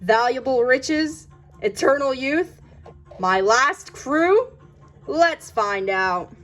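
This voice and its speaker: A woman's voice: high-pitched, expressive, very slow, a bit bright, faint music behind, full character voice. A woman voicing a male jungle boat skipper in a storybook, joking and mock-serious with puns. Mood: deadpan. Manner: punning, mock-serious delivery. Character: jokey male river-boat skipper, deadpan tour patter.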